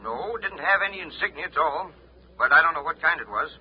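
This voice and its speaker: An actor playing a folksy, friendly homesteader with a gravelly, husky voice in a 1950s radio serial. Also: male voice, on the high side, animated, fairly quick, natural voice. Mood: respectful, helpful. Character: folksy, friendly rustic Martian homesteader, lonely and helpful. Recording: muffled, hissy 1950s radio recording.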